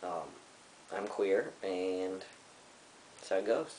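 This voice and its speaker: A young man in his twenties sits on a living-room couch and talks to his camera in a casual vlog, halting and full of fillers. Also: deep voice, darkish tone. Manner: halting, many fillers and restarts. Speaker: vlogger. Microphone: camera in front of him. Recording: roomy with faint hiss.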